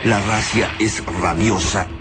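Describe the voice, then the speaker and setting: A man with an Argentine accent delivering solemn, measured, literary narration of a dramatic radio serial. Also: on the low side, fairly even intonation, over music. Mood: grim. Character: grave, literary male narrator of an alien invasion.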